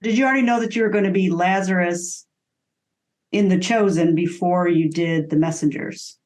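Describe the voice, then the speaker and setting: A woman's voice: on the low side, normal speed, darkish tone. A woman co-hosting an interview in a casual, conversational way. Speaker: interview co-host.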